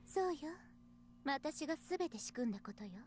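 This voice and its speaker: A woman voicing a cruel young female mastermind in a Japanese visual novel, gloating with a breathy, drawn-out taunting purr. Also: high voice, animated, darkish tone, slight background noise. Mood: rapturous cruelty.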